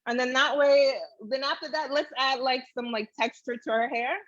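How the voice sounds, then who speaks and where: A woman's voice: sits high, fairly even intonation, normal speed, a bit bright, no room sound, dull audio. A woman giving a casual, chatty step-by-step drawing tutorial, thinking aloud as she goes. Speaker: illustrator demonstrating drawing.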